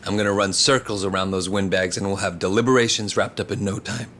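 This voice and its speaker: An actor playing a confident, smooth-talking governor who speaks in a breezy, self-assured way in a video game. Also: male voice, lowish voice, little pitch movement, fast talker, fairly bright tone, a little hiss or hum, lightly acted.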